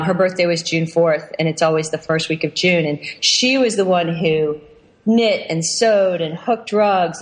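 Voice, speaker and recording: Chatty woman in a reverberant space. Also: low voice. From Pennsylvania, US. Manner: chatty, restarts and trails off often, laughs easily. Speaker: podcast guest, workshop founder. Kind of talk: casual interview chat. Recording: long reverb on voice.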